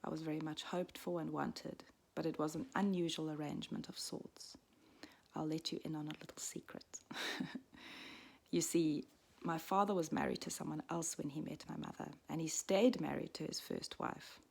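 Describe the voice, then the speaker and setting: Woman, 40s, raised in Switzerland, gravelly creaky voice, soft half-whispered storytelling. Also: sits low, steady tone. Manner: soft, slow, reflective, often half-whispered. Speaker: midwife and storyteller. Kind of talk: personal story read aloud.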